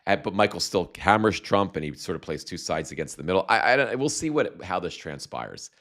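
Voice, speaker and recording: A man hosting a live stream with a slight cold and a voice going hoarse, chatting in a rambling, halting way full of fillers and restarts while reading viewer comments. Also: low-pitched, sing-song, fairly bright tone. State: slight cold, losing his voice. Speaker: livestream host.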